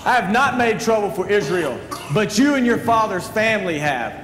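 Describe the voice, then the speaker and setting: Man acting a defiant prophet, high voice, emphatic declamation, Bible stage play, echoey auditorium. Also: big pitch swings, moderate pace, fairly bright tone. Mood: solemn, challenging. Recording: echoey auditorium, voice at a distance, faint noise.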